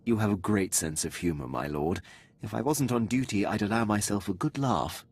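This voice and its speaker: An actor playing a dutiful, precise Imperial officer in a video game, speaking formally and deferentially. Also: male voice, fairly low pitch, expressive, normal speed, husky. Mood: composed, pleased.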